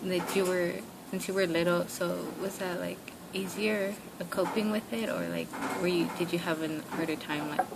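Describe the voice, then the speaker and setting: A young woman with a low, gravelly voice asks questions hesitantly, filling gaps with "um" and "like". The recording is noisy with a steady hiss behind her. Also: moderate pace, few pauses. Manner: hesitant questions full of fillers and "like". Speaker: interviewer. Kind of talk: oral history interview.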